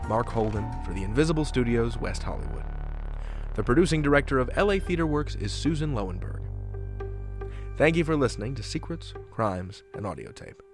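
A man hosting a podcast, asking interview questions and reading scripted material. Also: mid-range voice. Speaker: podcast host.

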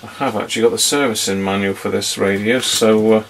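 Middle-aged male, deep and creaky, casual hobbyist demonstrating at a workbench, muttering unhurriedly. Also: fairly even intonation, brisk, background noise. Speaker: vintage radio hobbyist presenter. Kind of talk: casual hands-on demonstration commentary. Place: small indoor electronics workbench.

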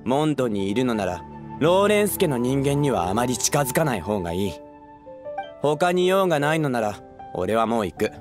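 A man's voice: medium pitch, sing-song, normal speed, over music, lightly acted. An actor playing a hostile young townsman in an anime game, speaking curtly and bluntly with scorn. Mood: hostile, irritated.

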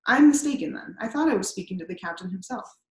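A woman's voice: medium pitch, lively intonation, quick pace, a little mellow, natural voice. Brief: earnest, polite young man, read by a woman in an echoey room.

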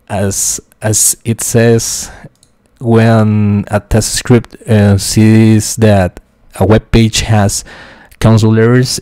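A young man from Costa Rica with a Spanish accent, a QA engineer talking through a software tutorial with many fillers and restarts. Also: on the low side, no room sound. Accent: Costa Rican Spanish. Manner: hesitant, many fillers and restarts, quietly talks to himself. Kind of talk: screen-share tutorial explanation.

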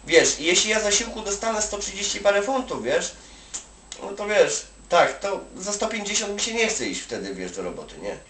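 Higher-voiced Polish man in echoey room. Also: very animated, moderate pace, fairly bright tone, slight background noise. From Poland. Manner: colloquial, rambling, peppered with "you know" tags. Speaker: Polish migrant worker advising an acquaintance. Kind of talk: casual one-sided phone chat. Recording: echoey room, distant from the mic.

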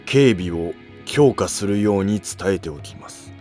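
Man as a deferential low-voiced court retainer. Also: lively intonation, unhurried, a little mellow, soft background music. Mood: concerned. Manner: formal, respectful. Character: loyal, deferential, concerned male court retainer. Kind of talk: Japanese historical animated drama acting.

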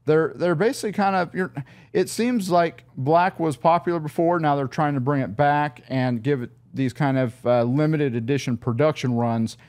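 Middle-aged man, car podcast host, loose rambling delivery, studio boom mic. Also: moderate pace, darkish tone. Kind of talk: casual car show chat. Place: studio set in a car showroom. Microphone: studio mic on a boom arm.

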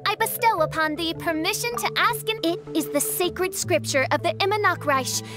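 A woman's voice: very high voice, normal speed, music in the background. A woman voicing a haughty, theatrical teenage self-styled princess in a video game, with pompous archaic diction. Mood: haughty, grand. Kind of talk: video game character dialogue.

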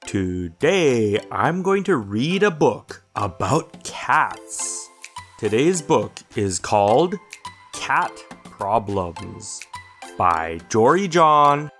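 A man's voice: mid-range voice, big pitch swings, drawn-out words, a bit bright, echoey room, faint music behind. A middle-aged man, a teacher, giving an upbeat introduction to a book he reads aloud for children.

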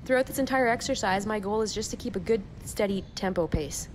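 A woman in her thirties talking casually and matter-of-factly to her camera as a cyclist vlogging her training, upbeat and chatty. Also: brisk. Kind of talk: casual first-person vlog. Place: outdoors on a racetrack and roadside. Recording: noisy outdoor action-camera audio, vehicle-like rumble.

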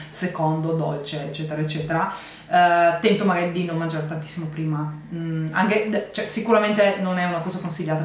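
A woman in her thirties chatting casually to camera as a vlogger, rambling and correcting herself in an animated way. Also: lowish voice. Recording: echoey room, faint noise.

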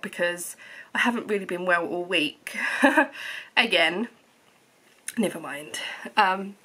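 Home vlogger, thirties, bright, chatty, slightly coldy voice. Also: female voice, medium pitch, husky. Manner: chatty, rambling, lots of ums and restarts. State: slightly hoarse from a cold. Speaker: knitting vlogger. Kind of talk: casual chat to camera. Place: living room at home.

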